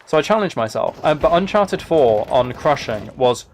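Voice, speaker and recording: A man's voice: mid-range voice, sing-song, normal speed, a little mellow, a little hiss or hum. A man live-streaming video games, chatting casually to his viewers and thinking aloud as he plays.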